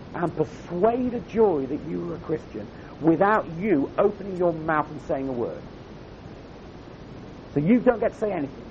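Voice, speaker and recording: Croaky male youth speaker, chatty and emphatic, muffled recording, light background hum. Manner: conversational, emphatic repetition. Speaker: camp speaker. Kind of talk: youth sermon. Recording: dull lo-fi recording with faint rumble.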